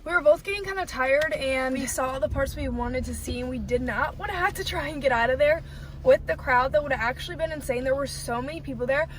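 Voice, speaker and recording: A chatty woman films a casual vlog, her voice sitting high and bright. She strings her sentences together with constant "like" fillers. Faint noise hums under her voice. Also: quick pace. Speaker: vlogger. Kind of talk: casual chat. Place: car on the way to a show.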